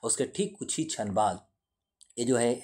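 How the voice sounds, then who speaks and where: A man's voice: medium pitch, lively intonation, moderate pace, a little mellow, slightly rough. A man giving an explanatory lesson in a didactic way, repeating and rephrasing his points. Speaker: teacher explaining current affairs.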